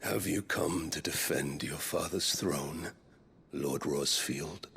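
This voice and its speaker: An actor playing a menacing, vengeful warlord lord in a video game, speaking in a slow, theatrical, mocking manner with a gravelly voice. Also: male voice, a bass voice, fairly bright tone, slight background noise, heavily acted. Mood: mocking, gloating. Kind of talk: video game cutscene acting.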